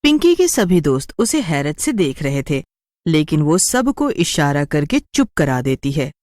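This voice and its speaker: A woman narrates a scripted story with dramatic flair. Her voice is low and moves widely in pitch, in a dry, close recording. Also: very animated, measured pace, darkish tone, no echo.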